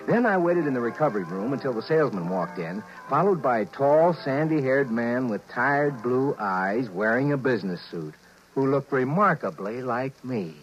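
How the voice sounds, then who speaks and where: An actor in a 1950s radio drama playing a harried married executive who narrates and stammers nervously. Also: male voice, mid-range voice, expressive, moderate pace, faint music behind, lightly acted. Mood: narrating. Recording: muffled vintage radio broadcast.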